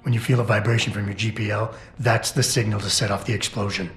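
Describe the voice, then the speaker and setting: A man's voice: fairly low pitch, fairly even intonation, quick pace, a bit bright, a little hiss or hum, close to an everyday voice. An actor playing a stoic, determined male cyborg operative in a video game, with a clipped, controlled delivery. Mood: terse, focused. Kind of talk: video game cutscene dialogue. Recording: roomy game cutscene with music. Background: background music.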